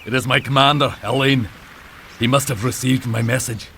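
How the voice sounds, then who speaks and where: An actor voicing a gruff, proud knight and sea captain in a video game cutscene, terse and commanding. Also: male voice, mid-range voice, steady tone, normal speed, sharp tone, slight background noise. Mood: firm.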